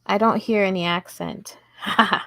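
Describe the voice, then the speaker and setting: A woman's voice: mid-range voice, steady tone, a little slow, creaky, pushed and bright, no room sound. A woman hosting a casual crochet livestream, chatty and giggly as she talks to her viewers. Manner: chatty, giggly, talks to chat while working. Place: indoors at home table.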